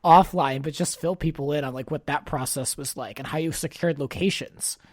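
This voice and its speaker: A man's voice: fairly high pitch, moderate pace, dry recording, studio quality. A man hosting a podcast, interviewing in a casual, conversational style.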